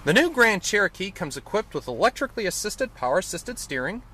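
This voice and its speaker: A man's voice: sits high, sing-song, fairly quick, pushed and bright, slight background noise. A man giving an enthusiastic, detailed car review, now and then correcting himself mid-sentence, recorded in and around a car. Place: inside and around a car, outdoors.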